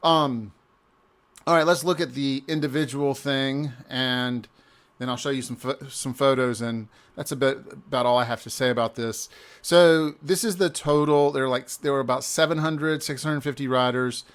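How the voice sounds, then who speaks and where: A man, a native English speaker, casually walking through a screen-shared recap of a cycling event, often pausing and restarting mid-sentence. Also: medium pitch, steady tone. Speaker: amateur cyclist reviewing an event.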